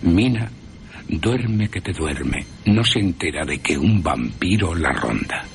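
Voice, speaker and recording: Male radio narrator, slow deliberate phrasing, animated intonation, theatrical, wry. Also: sits low, creaky, a little hiss or hum. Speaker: radio drama narrator and presenter. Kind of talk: dramatic horror storytelling, scripted narration.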